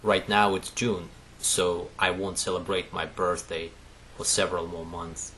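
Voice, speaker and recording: A man with a Russian accent speaks in plain, simple statements. His voice is very low yet bright and forceful. A faint hiss sits behind him. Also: little pitch movement, measured pace, low-quality recording. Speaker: example speaker in a lesson.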